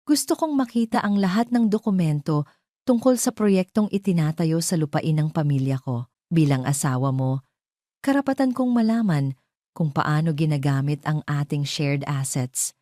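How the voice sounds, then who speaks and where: A wronged Filipino wife, voiced by a female narrator in an audio drama. She speaks with careful restraint. The voice is low and soft-toned, in a dry recording. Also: expressive, normal speed, dry recording. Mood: composed, resolute. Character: wronged wife, hesitant but growing composed and resolute.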